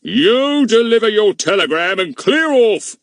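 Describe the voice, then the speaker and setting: A man's voice: medium pitch, big pitch swings, moderate pace, fairly bright tone, no room sound, voice pushed up. A man putting on a blustering pompous village policeman. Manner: blustering, scolding. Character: pompous, irritable middle-aged village policeman.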